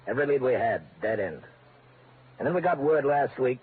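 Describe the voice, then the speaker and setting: An actor playing a heavy-set, tough American FBI agent in a vintage radio crime drama, speaking in a blunt, businesslike way. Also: male voice, medium pitch, expressive, natural voice. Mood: brisk explaining. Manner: brisk, blunt, matter-of-fact. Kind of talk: 1940s-50s radio crime drama acting. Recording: narrow-band vintage radio, faint noise.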